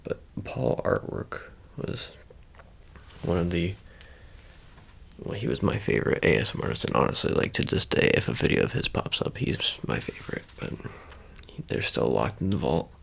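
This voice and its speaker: A man speaks as an ASMR creator in a very low voice thick with gravelly fry. His pitch moves around in a lively way as he rambles casually to the viewer, often stopping and correcting himself. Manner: halting, rambling, self-correcting.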